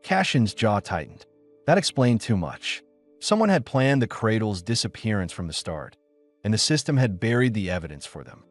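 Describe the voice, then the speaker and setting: Low-voiced man narrating with lively intonation. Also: normal speed, faint music behind. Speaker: audiobook narrator. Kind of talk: dramatic scripted story narration.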